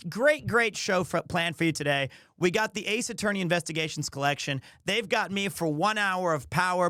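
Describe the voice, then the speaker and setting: Bright, high-voiced man chatting on stream. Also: no echo, clean studio sound. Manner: rambling, jokey, expressive. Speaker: livestreamer and host. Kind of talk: casual chat to a stream audience.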